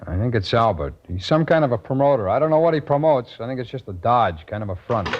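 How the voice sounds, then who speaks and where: An actor playing a cocky, defiant small-time American robber who talks in tough slang, in an old radio crime drama. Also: male voice, mid-range voice, lively intonation, fast talker, natural voice. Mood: resigned. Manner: slangy tough-guy talk. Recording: dull lo-fi vintage radio recording.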